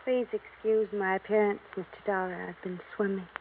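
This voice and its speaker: A woman's voice: mid-range voice, little pitch movement, normal speed, close to an everyday voice. A tinny radio recording with faint hiss carries a woman acting a wealthy young wife. She sounds polished and imperious, in the poised style of fifties radio drama. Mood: poised, coy.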